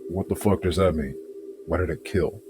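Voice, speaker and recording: A man's voice: sits low, normal speed, over music, natural voice. A man playing a troubled, volatile fugitive in a video game, blunt in manner. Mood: furious. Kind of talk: video game cutscene dialogue.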